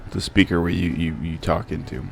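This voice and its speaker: A man with a deep, low voice narrates a casual how-to. His delivery is informal and hesitant, stopping and starting as he explains each step. Faint music plays behind him. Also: fairly even intonation, fairly quick, creaky. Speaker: DIY prop maker and channel host.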